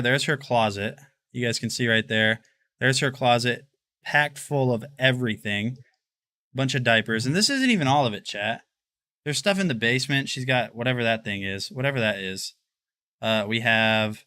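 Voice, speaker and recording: A man's voice: mid-range voice, normal speed, bright, forceful tone, no echo. A man in his twenties hosting a livestream, chatting casually and rambling while he walks through his home. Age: 20s. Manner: rambling, chatty, addresses chat. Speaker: livestream host. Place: home, walking through rooms.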